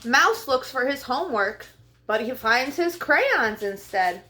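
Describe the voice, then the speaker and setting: A woman hosting a children's storytime, speaking in simple, deliberate phrases meant for young listeners. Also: high-pitched, very animated, sharp tone, a little hiss or hum. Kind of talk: read-aloud and talk addressed to young children.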